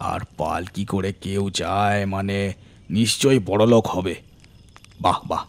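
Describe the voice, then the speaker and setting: A man voicing a commanding bandit gang leader in a cartoon, bossy and deliberate. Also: sits low. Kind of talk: cartoon voice acting.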